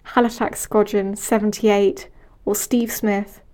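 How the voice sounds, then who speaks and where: A young woman reads a scripted reflection in a measured, earnest way. Her voice is mellow and soft-edged. Her delivery stays level with little rise and fall, and she closes the series as its host. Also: medium pitch, level tone. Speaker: podcast host and project researcher.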